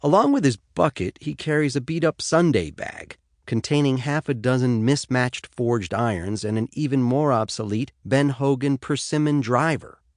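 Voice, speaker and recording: A man's voice: mid-range voice, moderate pace, few pauses, no echo. An American man reading first-person fiction as an audiobook narrator, in a studio. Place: dry studio.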